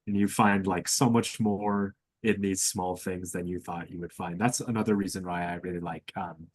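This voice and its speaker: Young guy, deep husky voice, thoughtful casual podcast chat, says like a lot, dry sound. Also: fairly even intonation, moderate pace, no echo. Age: young adult. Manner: thoughtful, hedging with frequent "like". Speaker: student podcast panelist. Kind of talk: casual reflective discussion.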